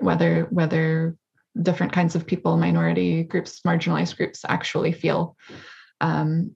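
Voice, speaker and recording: A low-voiced woman with a gravelly, husky tone walks through her research in a thoughtful, explanatory way. She is a native English speaker. The sound is dull and lo-fi, like a video call. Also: darkish tone. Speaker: guest researcher. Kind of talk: explaining survey results over a video call.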